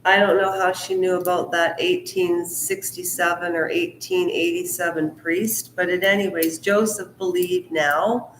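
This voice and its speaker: A woman's voice: lowish voice, fairly even intonation, roomy sound, slight background noise, rough recording. A woman hosting her own channel, reading a book aloud and stopping often for rambling asides of her own. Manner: slow, deliberate delivery. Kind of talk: book reading mixed with casual commentary.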